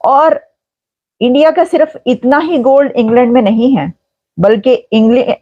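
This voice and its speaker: Slow-talking woman news presenter, mellow voice. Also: on the high side. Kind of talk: explanatory news commentary. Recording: dull, roomy recording.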